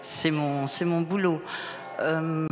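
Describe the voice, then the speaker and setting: A novelist from the Moselle part of Lorraine, whose first language was a Franconian German dialect, talking animatedly on a book-fair panel with lots of repeated words and restarts. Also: female voice, deep voice, steady tone, takes it slowly, faint music behind. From Moselle, eastern Lorraine, France. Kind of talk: round-table discussion at a book fair. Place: public event hall. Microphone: table microphone. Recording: echoey hall with long reverb.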